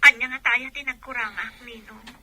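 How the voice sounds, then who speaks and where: Bright, high-voiced wronged young wife played by an actress. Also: female voice, steady tone, a little fast. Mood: hurt, firm. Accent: Ilocano. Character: wronged young wife living abroad, resolute and hurt. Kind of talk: Ilocano audio drama acting.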